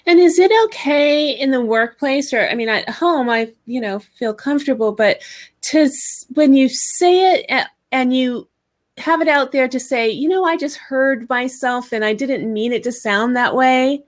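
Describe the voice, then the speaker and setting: A woman with a fairly high voice hosts a casual interview, pausing and restarting as she feels her way into questions. She keeps nodding along with short affirmations. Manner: hesitant, restarts, frequent affirmations. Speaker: podcast host.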